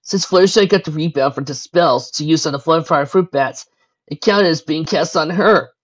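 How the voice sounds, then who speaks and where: A woman's voice: low-pitched, voice pushed up. A woman voicing an earnest young male dragon who explains things carefully in a story reading. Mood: grave, explaining. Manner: slow, explanatory. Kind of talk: fan fiction story reading. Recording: dull lo-fi recording.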